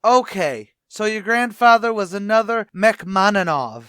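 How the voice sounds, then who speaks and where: A man's voice: sits high, lively intonation, unhurried, a bit bright, smooth, no room sound, clean studio sound. A man playing a boastful, wisecracking tavern manager in an old-time radio comedy, with a pompous, blustery delivery.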